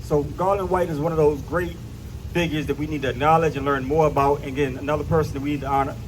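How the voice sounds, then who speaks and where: A man's voice: on the high side, fairly even intonation, fast talker, poor audio. A man giving an informal talk to a live audience as presenter, emphatic, repeating phrases and asking listeners questions. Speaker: presenter at a history event. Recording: live event with faint noise.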